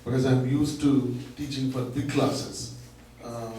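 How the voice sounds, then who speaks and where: A man giving a public talk to an audience, loud and teacherly, explaining with rhetorical questions. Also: mid-range voice, flat delivery, a little slow. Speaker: guest speaker, learning center founder. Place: large echoey room. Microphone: microphone at a distance. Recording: echoey room from far off, faint noise.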